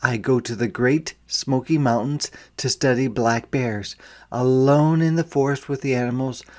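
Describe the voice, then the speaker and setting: A man reading a children's story aloud as a teacher would, deliberately, dropping into quieter asides to explain. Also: mid-range voice.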